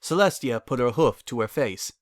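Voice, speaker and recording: A man's voice: mid-range voice, expressive, moderate pace, no echo, clean studio sound. A man reading a scripted story as its narrator.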